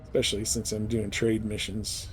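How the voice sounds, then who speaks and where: A man's voice: lowish voice, little pitch movement, moderate pace, a little hiss or hum. A man giving casual, rambling gameplay commentary as a live streamer, explaining things as he goes.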